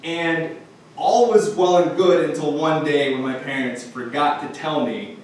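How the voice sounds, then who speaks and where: A man from Romania telling a childhood story on stage in a loose, halting way with frequent uh's. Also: a little high. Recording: echoey room mic, far off, faint noise.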